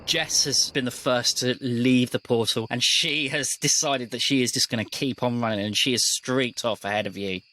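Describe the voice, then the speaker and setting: Man, game master, mid-pitched, measured descriptive narration, tabletop roleplaying. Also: fairly even intonation, pushed and bright.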